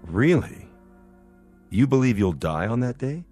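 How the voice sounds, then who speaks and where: An actor playing a reasonable, measured male doctor in a radio drama, polite, tactful and probing. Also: medium pitch, sing-song, dark tone, slightly rough, soft background music. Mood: careful, uneasy.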